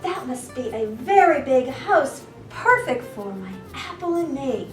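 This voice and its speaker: A polite little girl mouse from a storybook, as a woman reader acts her out, high and eager. She speaks slowly and hopefully, pleading sweetly in a roomy space. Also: very animated, far from the mic, soft background music. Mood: timid, polite. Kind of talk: children's storybook reading.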